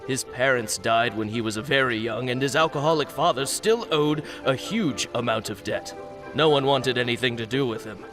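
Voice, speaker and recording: A man's voice: mid-range voice, normal speed, over music, lightly acted. A man voicing a nervous, defensive adult fishmonger at a wharf in a video game, stammering as he explains himself. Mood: explaining.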